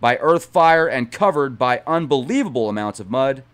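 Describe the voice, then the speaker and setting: Lively man reading aloud dramatically. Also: medium pitch, moderate pace, clear, resonant tone. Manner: dramatic read-aloud with chatty digressions. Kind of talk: reading a book aloud with casual asides.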